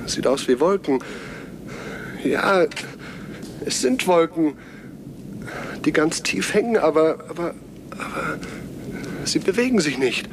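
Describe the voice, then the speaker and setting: Seventies radio play: agitated investigator, slightly high-pitched, distrustful, repeats himself, noisy recording. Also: male voice, animated. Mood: puzzled. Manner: halting, repeats words, insistent questioning. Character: agitated, suspicious male investigator demanding the truth. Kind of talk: 1970s German radio play acting.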